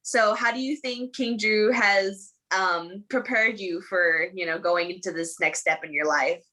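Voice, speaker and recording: A woman puts questions to a panel, reading them out at a slow, careful pace. Her voice has a bright, sharp edge. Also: mid-range voice. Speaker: moderator. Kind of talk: panel moderating.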